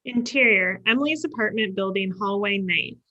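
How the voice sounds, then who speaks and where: A woman's voice: mid-range voice, normal speed, pushed and bright, highs cut off. A woman giving scripted narration, reading out stage directions for a table read.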